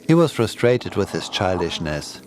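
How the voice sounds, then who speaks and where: A man's voice: low voice, sing-song, moderate pace, over music. A man reading a scripted horror story as its narrator.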